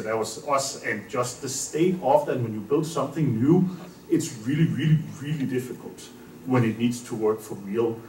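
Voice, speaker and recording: A man, a startup founder speaking energetically and candidly at a conference panel. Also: medium pitch. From Denmark. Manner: energetic, candid, gestures while talking. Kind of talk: casual conference talk. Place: indoor conference room. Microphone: stand microphone at a panel table. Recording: roomy hall, mic from a distance, faint hum.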